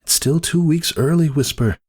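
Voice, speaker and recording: Pegasus mare nursemaid played by a man, raised pitch, worried and urgently pleading, dramatic fantasy story. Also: normal speed, darkish tone, lightly acted. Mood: anxious pleading. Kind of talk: dramatic fan fiction reading.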